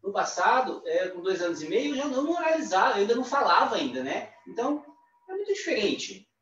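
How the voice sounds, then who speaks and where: A man with a Brazilian accent voicing a signed talk, halting, with restarts and tag questions. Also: high voice, very animated, fairly bright tone. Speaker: sign language interpreter. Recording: distant echoey lo-fi livestream audio.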